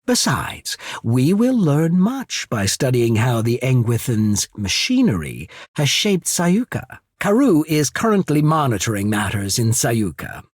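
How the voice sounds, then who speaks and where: A man's voice: mid-range voice, wildly swooping pitch, unhurried, no echo, studio quality. A man voicing a composed, courteous and shrewd diplomat of an island empire in a fantasy video game, speaking formally and with understatement. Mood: calm, assured. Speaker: voice actor for a video game character. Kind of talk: scripted fantasy game dialogue.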